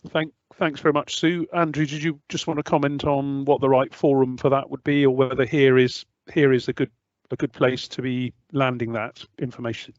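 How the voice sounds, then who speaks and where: A man chairing an online public meeting, polite and measured as he hands over to speakers. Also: mid-range voice, flat delivery, dry recording. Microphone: video call. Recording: muffled, dry video call audio.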